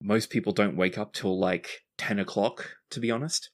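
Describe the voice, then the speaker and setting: A man's voice: fairly low pitch, moderate pace. A man speaking conversationally as game master of a tabletop roleplaying game, setting scenes and prompting the players.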